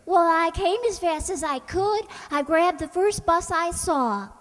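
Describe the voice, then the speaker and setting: Radio comedy secretary, female actor: soft-hearted, stubborn and dim, high slow voice. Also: animated. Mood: earnest, huffy. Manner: naive, earnest, muddles her words. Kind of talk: old-time radio comedy acting. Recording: echoey hall, long reverb.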